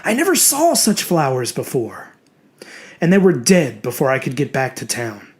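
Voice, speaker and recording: A man's voice: mid-range voice, huge pitch swings, moderate pace. A man voicing a petulant, self-righteous small-town reverend with a beast inside, preaching his own excuses in an indignant, brooding way for a horror audio drama. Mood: brooding, defiant. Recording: music behind the voice.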